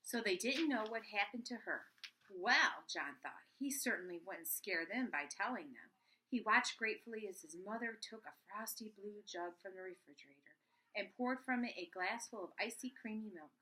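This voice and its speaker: Bright-voiced woman reading a children's story. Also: medium pitch, animated, normal speed, poor audio. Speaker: storybook reader. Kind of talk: read-aloud of a children's story.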